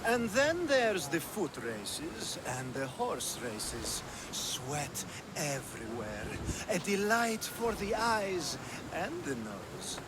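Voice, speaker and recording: An actor playing a flirtatious, decadent nobleman in a video game, teasing with drawn-out innuendo. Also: fairly high pitch, very animated. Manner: teasing, suggestive, drawn-out delivery. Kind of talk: video game cutscene acting. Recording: clear background ambience noise.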